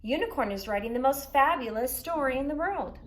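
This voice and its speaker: An eager, friendly narwhal from a storybook, voiced by a woman. The voice is excitable and jumps up high, louder than plain reading. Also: brisk. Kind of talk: children's storybook read-aloud.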